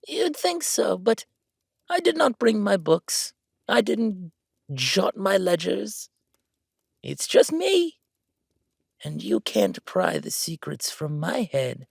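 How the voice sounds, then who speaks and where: A man's voice: high-pitched, huge pitch swings, very slow, creaky, long pauses, fairly bright tone, husky, dry recording, studio quality, exaggerated voice. A man voicing a bold, calculating bookkeeper count in a villain audio drama, taunting and grandly self-assured. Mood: smug taunting.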